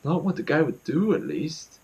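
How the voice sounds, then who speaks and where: A young man performing a dramatic monologue as an anxious, guilt-ridden student, speaking haltingly and repeating his words. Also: a little high, sing-song, warm tone, highs cut off. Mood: anxious, uneasy. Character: anxious, guilt-ridden student confiding about a troubled friend.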